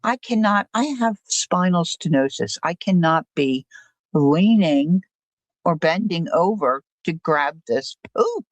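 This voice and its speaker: A woman's voice: fairly low pitch, expressive, slow, no echo. An older woman hosting a livestream, chatting casually and rambling through a story. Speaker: livestream host. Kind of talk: casual chat.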